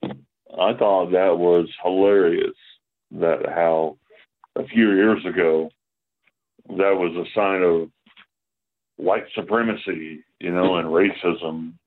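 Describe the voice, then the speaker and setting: Slow, very deep-voiced man on the phone. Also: steady tone. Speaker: caller. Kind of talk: call-in show chat. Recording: tinny phone line.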